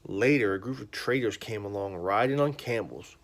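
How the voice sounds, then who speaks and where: A man's voice: on the low side, moderate pace. A man in his thirties hosting a bedtime story livestream, chatting casually with lots of fillers and then reading aloud. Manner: relaxed, rambling, lots of fillers.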